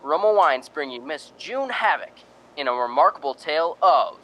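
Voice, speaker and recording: A man's voice: fairly high pitch, expressive, normal speed, pushed and bright, rough recording. A man announcing a radio suspense show and its sponsor in an emphatic, old-time broadcast style.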